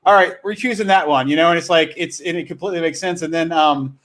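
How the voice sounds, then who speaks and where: A man with a fairly high-pitched voice hosts in a casual, chatty style. He rambles and restarts his sentences, often circling around a point before landing it. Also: fast talker, fairly bright tone. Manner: rambling, self-correcting, frequent "you know" and "like". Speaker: host. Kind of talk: casual livestream chat.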